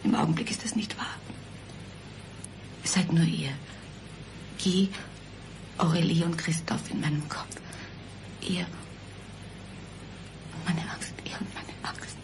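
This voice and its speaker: An actress playing a frightened, despairing condemned mother in a radio play, halting and breathy, often near a whisper. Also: fairly low pitch, fairly even intonation, poor audio. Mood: fearful, anguished. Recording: music under the voice.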